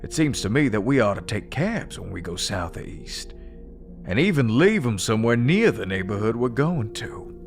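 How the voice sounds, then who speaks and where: An actor playing an easygoing, practical young adventurer in an audio drama, folksy and frank. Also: male voice, on the low side, very animated, normal speed, gravelly, faint music behind.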